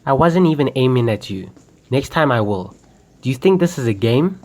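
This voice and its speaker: A man's voice: medium pitch, big pitch swings, moderate pace, darkish tone. A young man acting a commanding, impatient armed bank robber in an amateur radio drama. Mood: menacing. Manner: commanding, curt. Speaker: student actor.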